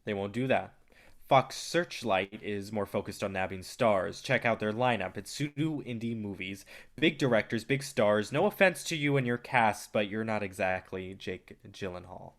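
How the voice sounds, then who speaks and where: A man's voice: on the low side, expressive, normal speed. A brash film distributor, played by a man in a staged reading. He is cocky and impatient, swearing freely and talking bluntly, as in a stage drama about the movie business. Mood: blunt, persuasive. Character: brash, arrogant male film distributor, impatient and foul-mouthed.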